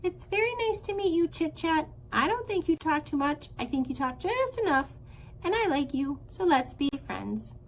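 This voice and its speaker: A woman voicing a small, curious young male gosling who speaks in a high, eager, polite voice in a storybook. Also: sing-song, normal speed, slight background noise. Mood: earnest.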